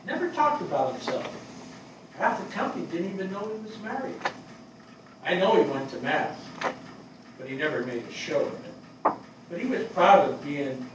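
An older man playing a grieving, plain-spoken fire captain in a staged play reading, halting and weary. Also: fairly high pitch. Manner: halting, hesitant delivery. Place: small theatre stage before a seated audience. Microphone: distant camera microphone. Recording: very echoey hall, far microphone, noisy.

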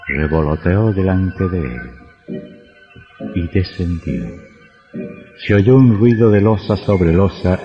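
An actor playing a sinister horror host, narrating a macabre tale slowly and theatrically for old radio. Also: male voice, low-pitched. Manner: slow, ominous, theatrical, with cackling laughs. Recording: dull old radio recording with music.

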